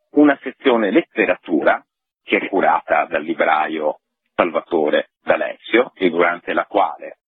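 A man with a husky voice explaining things conversationally and in detail as a festival organiser in an informal radio interview. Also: low voice, no echo. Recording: tinny, narrow phone line.

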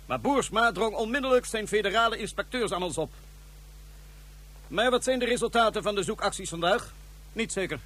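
A cold scheming ruler. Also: male voice, high voice, fairly quick, fairly bright tone. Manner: curt, commanding. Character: scheming male ruler giving cold orders. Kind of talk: radio drama acting.

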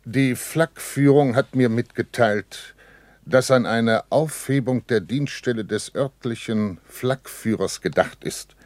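A formal German wartime officer, played by a male actor in a 1960s radio play. He reports very slowly and deferentially in a mid-range voice, with a dry studio sound. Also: mid-range voice, darkish tone, no room sound, close to an everyday voice. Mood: formal, concerned. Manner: formal, measured military reporting. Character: German army officer, formal, measured, deferential to his general.

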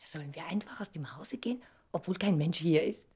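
An actress playing a tender, wistful woman reunited with her first love in an intimate German radio drama, soft and often hushed. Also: on the low side, brisk, breathy edge. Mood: quiet.

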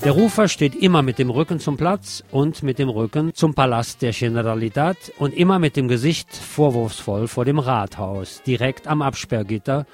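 A man's voice: medium pitch, moderate pace, few pauses, a little mellow. A middle-aged man reading a scripted satirical radio column with ironic, theatrical emphasis. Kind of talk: scripted satirical commentary and literary reportage. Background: music behind the voice.

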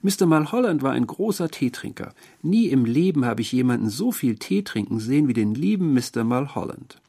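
A man voicing a sweet, doting middle-aged landlady in an audiobook story, cooing and over-familiar with a quietly eerie edge. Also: mid-range voice, big pitch swings, a little fast, breathy edge, voice pushed up. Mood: gently correcting.

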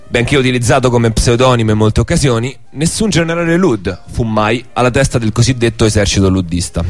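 A man's voice: medium pitch, animated, a little fast. A man hosting a radio programme, narrating a scripted historical documentary. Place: radio studio. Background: music.

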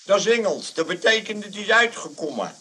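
A man's voice: sits high, expressive, a little fast, sharp tone. An actor playing a conniving old father, a junk dealer, in a Dutch radio comedy. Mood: agitated.

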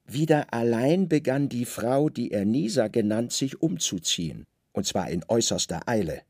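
A man narrating a literary radio play with dramatic, deliberate storytelling in short phrases. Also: medium pitch, very animated, a little mellow, slightly rough, dry recording, clean studio sound.

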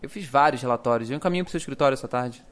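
Man playing an exasperated young Brazilian doctor, medium pitch. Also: very fast, natural voice. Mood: exasperated, dry. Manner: dry, exasperated, precise. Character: dry, exasperated young male forensic doctor. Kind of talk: audio drama dialogue.

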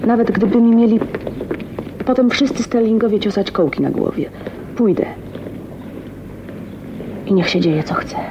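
Muffled radio drama, noticeable noise, actress as shy young spinster, wistful, hushed, hesitant, medium pitch. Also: female voice, expressive, normal speed, long pauses, close to an everyday voice. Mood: defiant resolve. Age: young adult. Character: shy, lonely, dreamy 29-year-old spinster, wistful and wounded. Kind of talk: radio drama with intimate interior monologue. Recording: dull, noisy 1980s radio drama recording.

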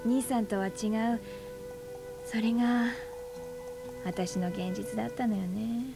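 An actress in her forties playing a brooding, bitter aunt who is a schoolteacher, speaking softly and often in a half-whisper in a radio drama. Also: fairly high pitch, steady tone, slow, vocal fry, music in the background. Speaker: high school teacher.

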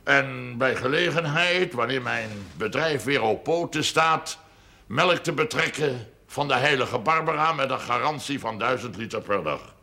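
An actor playing a shrewd, self-important wealthy farmer in a television drama, speaking in a pompous, formal, wordy way. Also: male voice, mid-range voice, expressive, a little slow, creaky, sharp tone, exaggerated voice. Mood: pompous, solemn.

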